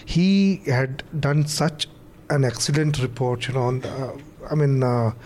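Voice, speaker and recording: Mellow man, halting. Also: mid-range voice, a little hiss or hum. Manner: halting, many fillers. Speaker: panel guest. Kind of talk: casual recommendations on a podcast.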